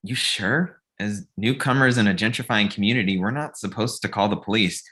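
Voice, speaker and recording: A man's voice: on the low side, brisk, pushed and bright. A man playing a concerned, cautious roommate in a script read. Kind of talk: screenplay table read.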